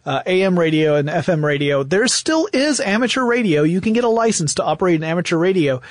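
A man's voice: high-pitched, big pitch swings, fast talker, dry recording, studio quality. An American man co-hosting a podcast, explaining history conversationally in an emphatic storytelling way. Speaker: podcast co-host.

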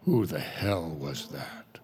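An actor playing a curt, impatient poker player with a gruff manner in an audio drama. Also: male voice, rumbling low, lively intonation, takes it slowly, a little mellow, full character voice. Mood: irritated.

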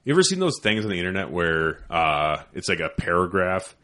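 A man with a deep voice that mumbles a little, chatting casually as host and swearing freely. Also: sing-song, a little fast, sharp tone. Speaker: podcast host. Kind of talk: casual chat.

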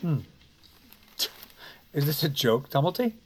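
An actor playing a stern, weary, formal president in an audio drama, terse and deliberate. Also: male voice, on the high side, big pitch swings, a little mellow, a little hiss or hum. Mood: grave, controlled.

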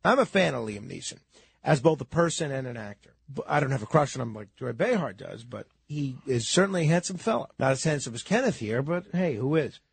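A man talking on the radio in a hesitant, halting, earnest conversational way. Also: medium pitch, expressive, vocal fry, husky, no room sound. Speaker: radio host.

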